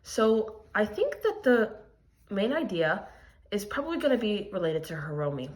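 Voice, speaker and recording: A woman teacher delivers an expressive read-aloud for young students. Her intonation is animated and swings widely around a mid-range pitch. She explains things plainly, in a lesson style. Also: low-quality recording. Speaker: teacher reading a picture book aloud.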